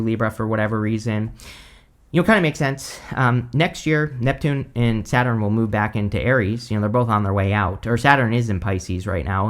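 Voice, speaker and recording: Man hoarse from losing his voice. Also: lowish voice. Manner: rambling, full of "like", "you know" and "right?". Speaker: tarot reader. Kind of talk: casual tarot reading to camera.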